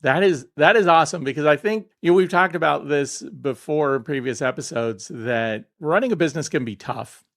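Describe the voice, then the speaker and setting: A man's voice: a little high, fairly quick, hardly any pauses. A man hosting a business podcast, chatting casually and introducing his guest, with frequent hesitations. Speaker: podcast host. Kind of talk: interview conversation.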